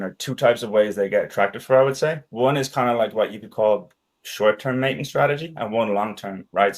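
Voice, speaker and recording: A man whose first language is Danish, a guest talking casually and rambling with fillers like "right?". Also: fairly low pitch. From Ireland and Denmark. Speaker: podcast guest, sales expert. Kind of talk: casual conversation.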